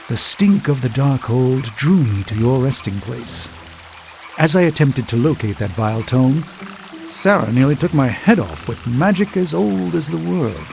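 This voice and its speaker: An actor voicing an urbane, proud middle-aged sorcerer in a video game, speaking with eloquent, theatrical dry wit. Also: male voice, mid-range voice, very animated, normal speed, creaky, a little hiss or hum. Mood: grave, reflective. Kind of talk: scripted video game dialogue. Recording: thin phone-like band, loud music behind.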